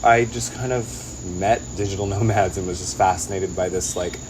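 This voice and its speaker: A man's voice: low-pitched, steady tone, normal speed, background noise. A man from Los Angeles with a Californian accent, answering interview questions in a casual, informal way with lots of "like". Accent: Californian American. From Los Angeles, United States. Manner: informal, peppered with "like". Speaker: interview guest, singer-songwriter and digital nomad.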